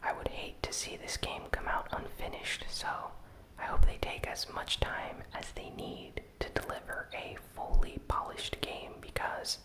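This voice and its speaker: Brief: ASMR news host, fully whispered, slow and deliberate, crisp bright hiss with some crackle. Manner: slow, soft, unvoiced whispering. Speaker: host of a gaming news channel.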